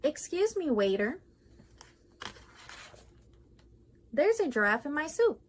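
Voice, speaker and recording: A woman's voice: high voice, big pitch swings, moderate pace, a little hiss or hum, voice pushed up. A woman voicing an exasperated, panicky male restaurant diner in a children's storybook, exclaiming and pleading urgently. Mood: indignant, puzzled.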